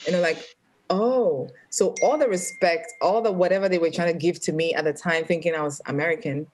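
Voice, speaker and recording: Ghanaian woman with a low-set voice. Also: a little fast, a little mellow, slight background noise, low-quality recording. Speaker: panel guest. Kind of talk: casual panel chat.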